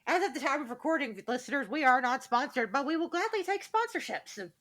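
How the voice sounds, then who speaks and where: A woman's voice: high voice, animated, fairly quick, fairly bright tone. A woman co-hosting a podcast, chatting casually in a brash, blunt, jokey way. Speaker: podcast co-host.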